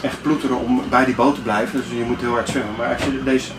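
A man's voice: mid-range voice, steady tone, quick pace, over music. A man in his fifties giving an informal demonstration to camera, hesitant and often searching for words. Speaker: sailing vlogger. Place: inside a sailboat cabin at the navigation desk. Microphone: camera a few steps away. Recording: roomy, distant mic, clearly noisy.

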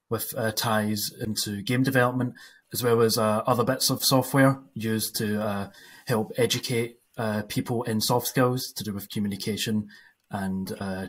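A young man from the west coast of Scotland with a Scottish accent, speaking on a panel over a video call with a headset, pausing often with "uh". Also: on the low side, a bit bright. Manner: halting, many "uh" pauses. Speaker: panelist, 3D animator. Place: home room.

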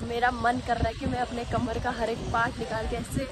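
A woman's voice: fairly high pitch, little pitch movement, brisk. A woman in her twenties chatting casually and informally to viewers as a travel vlogger. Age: 20s. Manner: informal, addresses viewers directly. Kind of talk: casual chatty vlog narration. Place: in a car and outdoors at roadside. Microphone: camera at arm's length. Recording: noisy handheld vlog with traffic noise.

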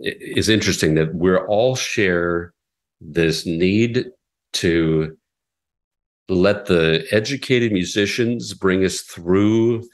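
A man's voice: deep voice, slow pace. A middle-aged man who runs a classical radio station, answering interview questions earnestly with frequent restarts.

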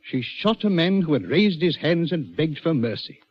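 An actor playing a troubled, defensive middle-aged prison governor, insistent and clipped, in 1940s radio style. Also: male voice, a little high, very animated, moderate pace, breathy edge. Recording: dull old 1940s radio recording.

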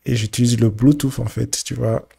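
A man with a head cold giving casual, halting commentary as he streams a football video game, often repeating his words as he thinks aloud. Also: mid-range voice, steady tone, creaky, dark tone, husky. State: congested with a cold. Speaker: gaming streamer.

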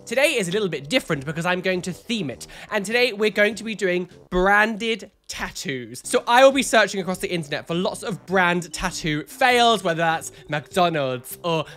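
A stuffy-nosed man in his thirties delivers sassy, dramatic reaction commentary, his bright voice swooping up and down. He speaks close into a studio mic in a small home room, with faint music under him. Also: high voice, normal speed, few pauses. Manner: animated, sassy, dramatic exclamations. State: congested, nasal from a cold. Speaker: YouTuber reacting to photos. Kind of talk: camp, chatty reaction commentary. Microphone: studio mic on a boom arm, close.

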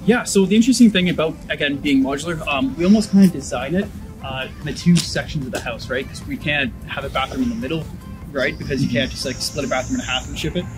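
Fast-talking young man explaining casually. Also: a little high, over music, low-quality recording. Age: young adult. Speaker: home builder being interviewed. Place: outdoors in a yard between houses.

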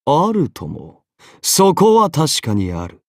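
Casting: an arrogant anime game king, imperious and condescending, slow, deliberate delivery, swinging widely in pitch. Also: wildly swooping pitch, creaky, darkish tone, dry recording, clean studio sound, full character voice. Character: arrogant golden-armored male king, haughty, easily bored. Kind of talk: dramatic anime game character voice acting.

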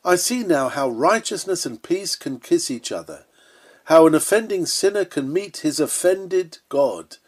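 A man's voice: a little high, lively intonation, measured pace, slightly rough. Earnest man reading an old sermon. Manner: earnest, emphatic, solemn. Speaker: sermon reader. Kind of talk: read-aloud Victorian sermon, earnest preaching delivery.

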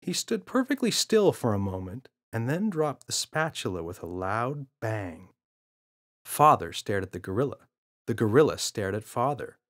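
A man's voice: fairly low pitch, sing-song, moderate pace, fairly bright tone. Man narrating a children's audiobook. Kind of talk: scripted children's story narration.

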